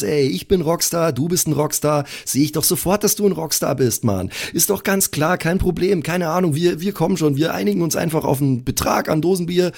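A man's voice: sits high, a little mellow. A man voicing a laid-back, cocky female rock star with breezy, slangy bravado.

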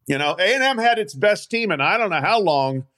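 A man's voice: sits high, expressive, fairly quick, fairly bright tone. A man co-hosting a sports podcast, making his points emphatically in casual chat. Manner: emphatic, argumentative. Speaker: podcast co-host.

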